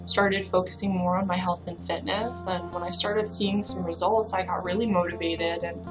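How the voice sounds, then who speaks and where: A woman's voice: lowish voice, fairly even intonation, normal speed, music in the background, low-quality recording. A young woman from the Bahamas, a fitness trainer, telling her personal story to the camera in a casual, reflective way with many fillers.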